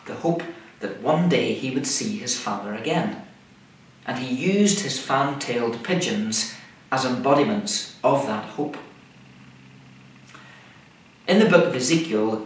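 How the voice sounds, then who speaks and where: A middle-aged man, a minister delivering a scripted sermon in measured, deliberate short phrases. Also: mid-range voice, a bit bright. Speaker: minister preaching. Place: indoors, small room, seated at a table. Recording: echoey room, far microphone, faint noise.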